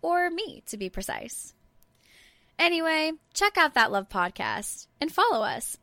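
Woman playing a bright, vain podcast promoter. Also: sits high, sing-song, measured pace, no room sound, heavily acted. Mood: smug, flippant. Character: vain, flippant female voice actor plugging a podcast.

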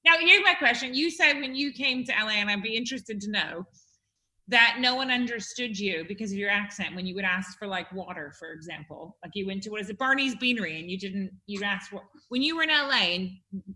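A woman's voice: medium pitch, brisk, bright, forceful tone. A woman hosting a casual chat, asking long, curious, rambling questions. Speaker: host.

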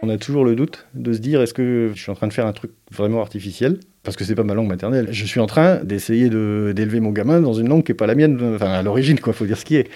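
A man speaks low and soft-toned in an interview, reflecting slowly on family life. He pauses often and fills gaps as he searches for words, his timbre warm and dark. The delivery stays thoughtful and unhurried. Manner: hesitant, thoughtful, frequent fillers. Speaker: interviewee, parent.

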